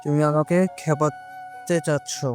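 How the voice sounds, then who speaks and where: A man's voice: fairly high pitch, level tone, slow. A man playing an intense, hot-tempered young man in love in a romantic audio drama. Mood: annoyed, intense. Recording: music bed under the voice.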